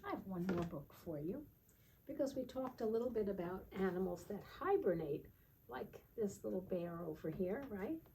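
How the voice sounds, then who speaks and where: A woman teacher leading storytime for young children, gently explaining and often checking in with little questions. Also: mid-range voice, expressive, normal speed. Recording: distant mic with faint background noise.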